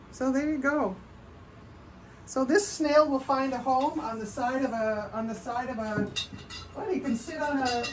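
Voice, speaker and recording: High-voiced Canadian woman chatting casually. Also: low-quality recording. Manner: chatty and rambling, often breaking off mid-sentence. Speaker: potter hosting a livestream. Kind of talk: casual live show-and-tell of pottery. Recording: noticeable background noise.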